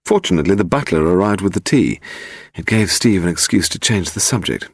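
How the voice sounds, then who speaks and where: A man's voice: low-pitched, expressive, a little fast, no room sound, clean studio sound. A man with an English accent reading scripted audiobook narration of a story.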